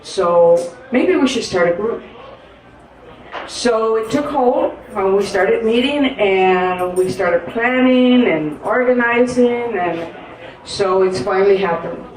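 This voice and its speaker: A woman's voice: medium pitch, darkish tone. Woman poet telling her own story on a live stage, earnest and hesitant, recorded from afar in a roomy hall. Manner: halting, earnest, with frequent pauses and fillers. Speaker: poet and guest. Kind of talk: personal storytelling in a live interview. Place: live stage event in an echoey room. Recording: echoey room from a distance.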